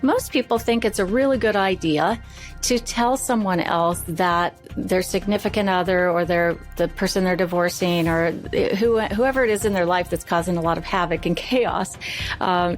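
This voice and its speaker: A woman's voice: lowish voice, moderate pace, soft background music. A woman co-hosting a podcast, introducing and talking through the discussion in a conversational way. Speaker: podcast co-host.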